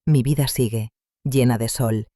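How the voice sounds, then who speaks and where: A man narrating a first-person story in a calm, measured, reflective way. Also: mid-range voice, dry recording, studio quality.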